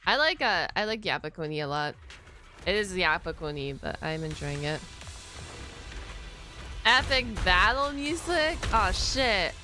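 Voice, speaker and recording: A woman's voice: on the low side, big pitch swings, a little slow, long pauses, pushed and bright. A woman game streamer giving casual, rambling live reaction commentary with slang and swearing. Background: game music.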